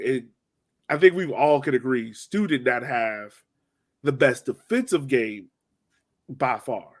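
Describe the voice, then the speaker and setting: A man hosting a sports podcast, recapping a match in casual, slangy, animated storytelling. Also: mid-range voice. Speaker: podcast host.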